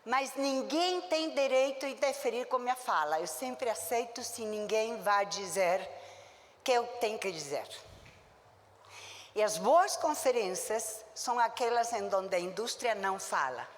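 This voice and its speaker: A woman's voice: on the high side, lively intonation, unhurried. Emphatic woman lecturing. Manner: emphatic and blunt, with short punchy verdicts. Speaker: physician giving a conference lecture. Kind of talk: conference lecture. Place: conference hall. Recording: long reverb of a lecture hall.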